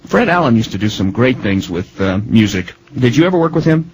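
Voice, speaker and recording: A man's voice: mid-range voice, very animated, brisk, a little mellow, slight background noise, rough recording. A man conducting a conversational radio interview, putting his questions in a wordy, hesitant way.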